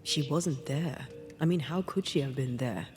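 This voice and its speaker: An actress playing a passionate, brooding ghost woman in a video game, with a breathy, whispery, intimate delivery. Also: rumbling low, soft background music. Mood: troubled, confiding. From Cuba (the character). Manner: slow, hushed, deliberate.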